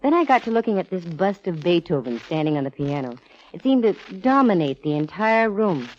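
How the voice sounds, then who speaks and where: An actress playing a confident, wisecracking female private detective in a brisk, wry 1940s radio style. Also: lowish voice, very animated, darkish tone. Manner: brisk, wry, self-assured. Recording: dull, hissy old radio recording.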